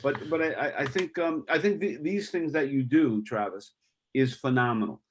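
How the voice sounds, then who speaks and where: A middle-aged man speaking as a guest on a video call, halting and heartfelt with many restarts. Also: mid-range voice, husky.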